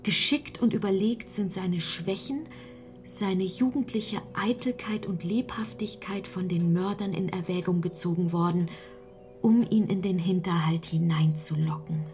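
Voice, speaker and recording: A woman playing a narrator who reads a sinister account in a radio drama, breathy and often half-whispered. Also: on the low side, unhurried, a little mellow, faint music behind. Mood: ominous, hushed. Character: hushed female narrator reading a sinister accusatory account. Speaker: actress in a radio play. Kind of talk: scripted radio drama reading.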